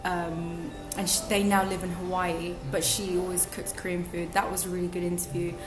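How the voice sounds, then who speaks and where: A woman's voice: fairly low pitch, level tone, normal speed. Woman, young, podcast guest, chatty, lots of ums, echoey room, music behind. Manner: reflective and chatty, with many ums and restarts. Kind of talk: casual interview chat.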